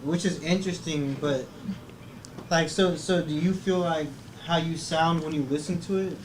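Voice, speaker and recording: A man's voice: on the high side, moderate pace. Chill guy host asking questions, kinda far from the mic, noisy background. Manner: relaxed, hesitant with fillers. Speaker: interviewer host. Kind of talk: casual interview questions. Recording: distant mic with clear background noise.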